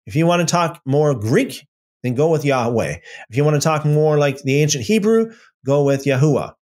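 A man's voice: fairly high pitch, lively intonation, moderate pace, darkish tone. Guy doing a live bible study, reads comments and answers them, lots of uh and restarts, casual. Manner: rambling, many restarts and fillers. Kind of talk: casual livestream Bible talk.

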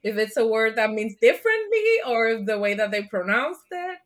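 A woman from Puerto Rico with a Puerto Rican Spanish accent, a guest answering interview questions thoughtfully, with frequent restarts and soft laughs. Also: a little high, big pitch swings, moderate pace. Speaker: podcast guest.

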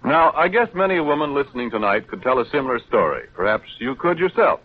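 A man announcing in polished, scripted 1930s radio sales style with measured delivery. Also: medium pitch, very animated. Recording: thin, narrow-band 1930s radio broadcast.

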